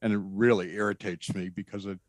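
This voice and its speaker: An earnest man with a bass voice telling his story to an interviewer. He is emphatic and repeats phrases as he goes. The recording is dry, with no room sound. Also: steady tone, brisk, dry recording. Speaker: guest describing a spiritual experience. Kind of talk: conversational storytelling.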